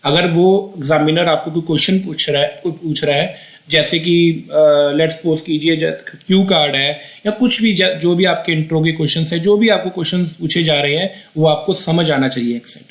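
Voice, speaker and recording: A young man explains exam preparation in a chatty, looping way. He often stops mid-sentence to restart and tacks on little check-ins with the viewer. His voice sits a bit high, and the room around him rings with echo. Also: moderate pace. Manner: chatty, repeats himself, restarts sentences, frequent "theek hai ji". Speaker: IELTS tutor. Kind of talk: explanatory video lesson. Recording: echoey room.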